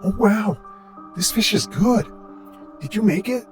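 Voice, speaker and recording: A man voicing a strange, eager lost wanderer who speaks in an overly polite, halting way, in a storytelling narration. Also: on the high side, expressive, darkish tone, faint music behind, pitched above the performer's own voice, natural voice.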